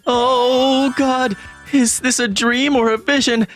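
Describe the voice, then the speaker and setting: A man's voice: very high voice, flat delivery, slow, a bit bright, full character voice. A man voicing a gloomy, introverted, easily panicked teenage schoolboy who narrates a manga story in a self-deprecating, dramatic way. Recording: music bed under the voice. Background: music.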